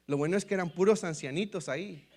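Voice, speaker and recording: A man's voice: sits high, moderate pace, vocal fry, slight background noise. A Mexican man with a Mexican Spanish accent, a pastor telling his testimony in a sermon, often calling his listeners brothers and acting out the dialogue. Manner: storytelling, repeatedly addressing listeners as brothers; lively, acts out quoted dialogue.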